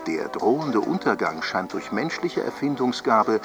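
An animated official newsreader, music behind. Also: male voice, on the low side, unhurried. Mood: official, optimistic. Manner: formal, declamatory, polished. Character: upbeat, official newsreader of a futuristic network newsroom. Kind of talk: scripted news bulletin in radio drama. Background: music bed.

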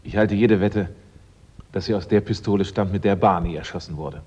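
Low-voiced gentleman sleuth, dull recording. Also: breathy edge. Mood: composed, knowing. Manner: polite, measured, deliberate. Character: self-assured gentleman amateur sleuth. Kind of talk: German radio drama acting. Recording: dull radio recording, faint noise.

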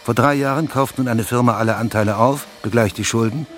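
A man's voice: medium pitch, brisk, fairly bright tone, lightly acted. A man playing an intense, insistent investigative journalist who is hungry for an exclusive, in a radio drama. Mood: emphatic briefing. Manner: urgent, insistent, emphatic explaining. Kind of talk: German radio drama dialogue. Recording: music behind the dialogue.

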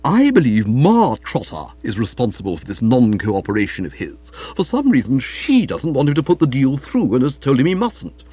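A man's voice: mid-range voice, huge pitch swings, moderate pace, hardly any pauses, breathy edge, dry recording, highs cut off. A man voicing a blustering, hearty older English aunt in an audiobook, with emphatic, scolding, slangy talk. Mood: earnest, suspicious. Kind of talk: audiobook character voice.

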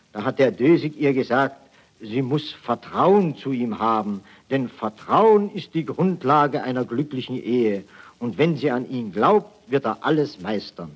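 A man's voice: medium pitch, breathy edge. An actor playing a hapless, naive army private telling his woes in a folksy way on an archival 1940s satirical radio broadcast. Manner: folksy, mock-naive storytelling.

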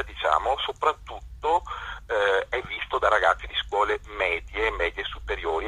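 A man being interviewed as a theatre director over a telephone line, speaking spontaneously and haltingly, repeating words as he forms his sentences. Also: low-pitched, bright, forceful tone, breathy edge, no room sound. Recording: tinny, harsh telephone line.

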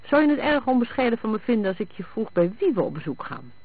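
Man voicing an impatient, high-pitched wife, phone-like sound. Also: sing-song, fast, breathy edge, no room sound, exaggerated voice. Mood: insistent. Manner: pointed, questioning. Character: insistent, impatient, sceptical wife questioning her husband. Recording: thin, phone-like band-limited sound.

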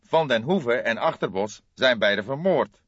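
A man voicing an older police detective in an audiobook, speaking in a deliberate, formal and ponderous manner. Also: mid-range voice, lively intonation, fairly bright tone, husky, dry recording, muffled recording, pitched above the performer's own voice. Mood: agitated. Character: brooding old male police detective, deliberate, weighty, slightly formal. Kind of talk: audiobook character dialogue.

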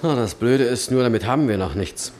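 An actor in a German radio drama playing an earnest, anxious young screenwriter who speaks politely and urgently. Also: male voice, medium pitch, expressive, a little fast, a little mellow, natural voice. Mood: excited, sheepish. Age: young adult. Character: earnest young screenwriter, anxious, dutiful minder of older colleague.

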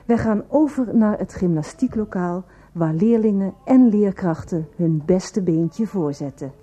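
Actress as formal female narrator for radio drama, prim and patient, warm relaxed timbre, scripted scene-setting. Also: mid-range voice, unhurried, natural voice.

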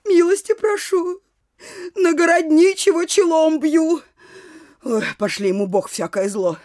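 An actress playing a tearful locksmith's wife who pleads and piles up curses in a theatrical comic audio drama. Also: female voice, very high-pitched, unhurried. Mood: aggrieved, cursing. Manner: loud tearful complaining, piling up curses. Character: wailing, cursing locksmith's wife, a townswoman petitioner.

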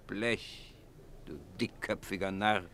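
An actor playing a gruff, bossy gladiator master in a radio play, impatient and commanding. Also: male voice, deep voice, takes it slowly, fairly bright tone, heavily acted. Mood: impatient, mocking. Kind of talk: theatrical German radio drama acting.

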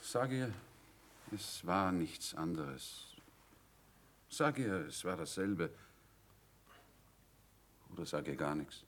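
A young condemned soldier in a radio drama. His voice is low and gruff, his words curt, defiant and bitter. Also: animated, slow pace, long pauses, fairly bright tone, slight background noise, exaggerated voice. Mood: bitter resignation. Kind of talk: German radio play acting.

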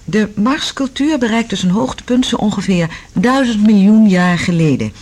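A woman's voice: mid-range voice, lively intonation, moderate pace, darkish tone, lightly acted. An actress playing a curious, affectionate woman explorer in a Dutch science fiction radio drama, with an inquisitive, careful delivery. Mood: reading, attentive.

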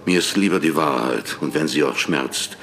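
A weary, distrustful old man of verse in a radio drama. His voice is extremely deep and full of gravel. He speaks in measured, aloof lines over faint music. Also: fairly bright tone. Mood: wary, wounded. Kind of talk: literary radio drama acting.

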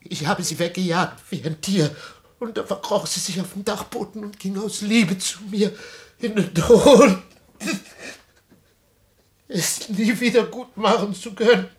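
A man's voice: high voice, a little slow. An actor playing an anguished, suspicious father in a radio drama, emotional and declamatory, moving between muttering and outcry. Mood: grief-stricken. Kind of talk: theatrical radio drama acting.

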